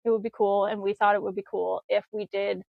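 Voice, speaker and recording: A woman's voice: mid-range voice, little pitch movement, brisk, creaky, dry recording, narrow, tinny audio. A woman co-hosting a casual podcast from home, chatty and rambling, laughing easily. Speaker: podcast co-host. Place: home with a wood stove.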